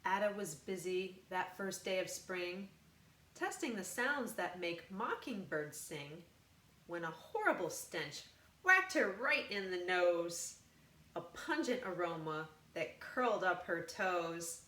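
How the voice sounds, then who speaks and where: A woman reading a rhyming children's picture book aloud, expressively, with deliberate, emphatic phrasing. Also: fairly low pitch, lively intonation, sharp tone. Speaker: volunteer storybook reader. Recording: roomy, echoing room sound.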